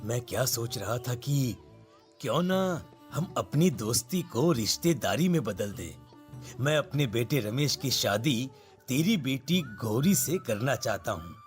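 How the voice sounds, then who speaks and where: A man's voice: mid-range voice, lively intonation, measured pace, natural voice. An actor playing a friendly father in a cartoon story. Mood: friendly, hopeful. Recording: music behind the voice.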